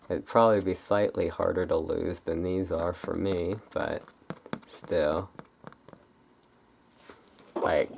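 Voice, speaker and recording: An ASMR creator chatting casually and unscripted, in a halting, rambling way that often breaks off mid-thought. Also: low voice, vocal fry, darkish tone, a little hiss or hum. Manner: halting, rambling, self-interrupting.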